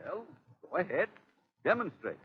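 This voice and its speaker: An actor playing an agitated, skeptical cousin who is the victim in a 1930s radio melodrama, on a noisy, muffled old recording. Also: male voice, high-pitched, very animated, normal speed. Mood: scornful, doubting.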